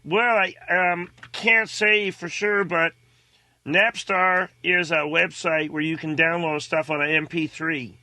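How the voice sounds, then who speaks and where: A man voicing a dim, earnest young radio sidekick who talks haltingly in comedy banter. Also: a little high, steady tone, pushed and bright, no room sound, pitched above the performer's own voice, heavily acted.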